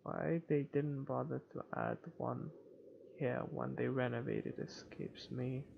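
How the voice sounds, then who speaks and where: Guy doing a lets play reading story text out loud, kinda crackly fry voice, trips on words, muffled mic with faint hiss. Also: medium pitch, steady tone, slow. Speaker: video game let's player. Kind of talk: reading visual novel text aloud with off-the-cuff comments. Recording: dull lo-fi home recording, faint noise.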